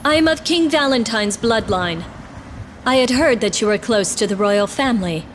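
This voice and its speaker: An actress playing a sorrowful, dignified young royal in a fantasy game, earnest and formal. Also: female voice, on the high side, lively intonation, normal speed, big-room sound, slight background noise, natural voice. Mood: resolute. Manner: earnest, formal, archaic phrasing. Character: sorrowful, dignified young royal woman, gentle but resolute. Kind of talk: theatrical fantasy game drama.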